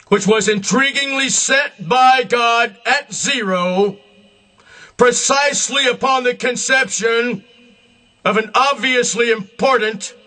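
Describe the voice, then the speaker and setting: A man delivering a solemn, scripted religious address in a grandiose, emphatic manner, in deliberate short phrases. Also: extremely high pitch, bright, forceful tone. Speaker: self-proclaimed religious leader.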